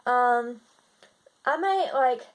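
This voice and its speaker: A young woman with a slightly raspy voice, chatting in a casual video diary and rambling with lots of fillers and restarts. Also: fairly high pitch, expressive, extremely slow. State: slightly raspy from seasonal congestion. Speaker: vlogger.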